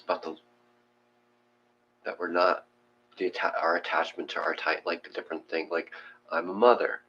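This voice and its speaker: A man in a casual, reflective discussion, thinking aloud in a halting way and trailing off. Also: low-pitched, level tone, sharp tone, husky. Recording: muffled, with faint hum.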